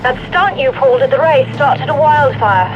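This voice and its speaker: An actor playing an urgent resistance contact delivering a battle briefing in a video game. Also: male voice, very high-pitched, moderate pace, highs cut off, a crowd in the background. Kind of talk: dramatic video game cutscene acting. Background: loud music.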